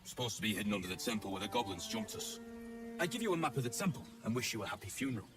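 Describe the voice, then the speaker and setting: A sardonic British sellsword in a video game, pitched on the low side. He is gruff and colloquial, a bitter survivor, heard a little far from the mic with music playing clearly behind him. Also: very animated, rapid-fire. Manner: rough, sardonic, colloquial speech. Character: gruff cynical male mercenary survivor of a slaughtered crew. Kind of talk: video game dialogue acting.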